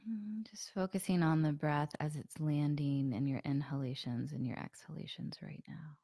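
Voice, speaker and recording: A woman guiding a meditation group with a slight hoarseness from a sore throat, talking softly with many fillers and sometimes dropping to a breathy near-whisper. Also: low voice, normal speed, dry recording, clean studio sound. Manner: soft, relaxed, many fillers. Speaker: meditation guide. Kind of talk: guided meditation with a live group.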